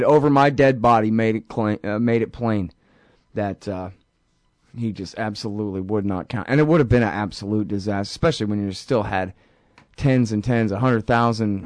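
A man's voice: on the low side, no room sound, studio quality. A man hosting a political talk show, ranting sarcastically with lots of energy. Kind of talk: political radio commentary.

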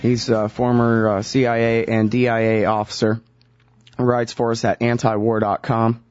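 A man's voice: medium pitch, little pitch movement, moderate pace, darkish tone, highs cut off, faint music behind. A man hosting a radio interview, asking probing questions in a casual way with some fillers. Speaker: radio show host.

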